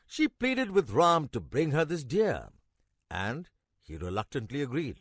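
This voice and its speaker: A man playing a kindly old grandfather in a children's cartoon, narrating a legend in a measured, expressive way with emphatic pauses. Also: fairly high pitch, no room sound, studio quality, full character voice. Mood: dramatic storytelling.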